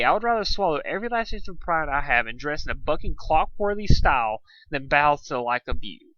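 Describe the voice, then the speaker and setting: A man's voice: on the high side, sharp tone, dry recording, highs cut off, voice pushed up. A man voicing a brash young female pegasus pony in a fan fiction story, casual, sarcastic and emphatic.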